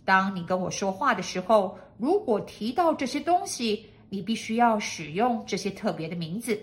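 A woman's voice: medium pitch, expressive, normal speed, lightly acted. A woman voicing a pompous, bossy, eccentric lord in a storybook, speaking in an emphatic, commanding way. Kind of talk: storybook character acting.

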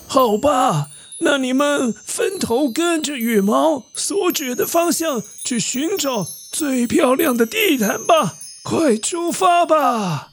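Man playing a slow, commanding old king. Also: extremely high pitch, lively intonation, a bit bright, soft background music, voice pushed up, heavily acted. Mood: solemn, commanding. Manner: slow, deliberate, proclaiming. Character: ailing old king, commanding but fatherly.

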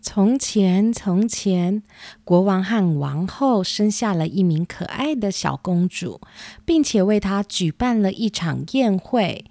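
Slow, expressive woman storyteller. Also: medium pitch, no room sound. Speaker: storyteller, podcast host. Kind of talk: scripted children's story narration. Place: dry home recording over music.

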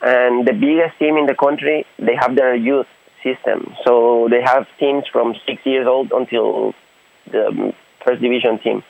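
A man's voice: medium pitch, fairly even intonation, gravelly, dry recording. Young man from Honduras, tinny phone line. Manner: halting, searching for words. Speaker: guest footballer. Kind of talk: radio interview.

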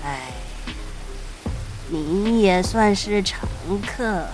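Slow, sly elderly shopkeeper acted by a woman. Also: mid-range voice, lively intonation, music in the background. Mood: sly, menacing. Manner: slow, drawling, teasing, chuckling menace. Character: sly, greedy elderly shopkeeper of sinister magic apples. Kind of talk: audio drama acting.

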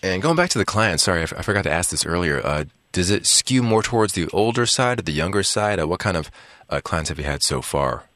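A man's voice: low voice, animated, fast, a bit bright, no echo. A man hosting an interview, asking friendly questions with a few "uh" fillers. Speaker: interviewer, host.

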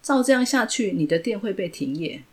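A woman's voice: medium pitch, very animated, fairly quick. A woman voicing a cool, blunt teenage girl genius who prods with questions. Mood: prodding.

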